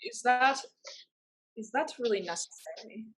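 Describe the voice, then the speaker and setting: A woman's voice: fairly high pitch, expressive, measured pace, slightly rough, low-quality recording. A woman playing a fierce, resolute young pirate in a staged play reading, firm and blunt. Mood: doubtful, resolute.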